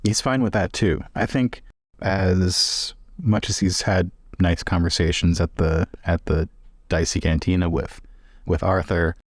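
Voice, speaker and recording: A man's voice: low-pitched, fairly even intonation, a little slow, dry recording, studio quality. A man playing a tabletop game, casually describing what his character does.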